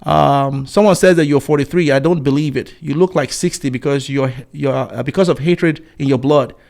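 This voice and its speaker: A man's voice: fairly high pitch, normal speed, gravelly, darkish tone. A man in his forties talking casually as a YouTube commentator, reading out viewer comments with scorn and many fillers and restarts. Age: 40s.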